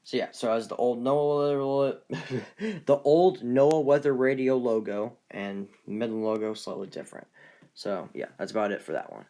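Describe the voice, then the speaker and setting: A man presenting his collection in a casual, unscripted video, speaking haltingly and often trailing off. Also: mid-range voice, dark tone. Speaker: collector presenting weather radios. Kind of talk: casual unscripted show-and-tell.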